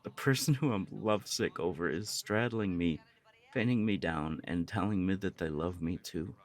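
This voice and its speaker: A man playing a lovesick, earnest young punk roommate in an intimate ASMR romance roleplay, speaking in a halting way. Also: low-pitched. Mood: flustered, amazed.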